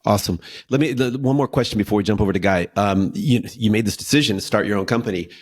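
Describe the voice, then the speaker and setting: A man's voice: on the low side, quick pace. A man hosting a podcast interview, giving short encouraging replies and talking through his thoughts with small restarts. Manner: warm backchannel, hesitant restarts. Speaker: podcast host. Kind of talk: casual interview chat.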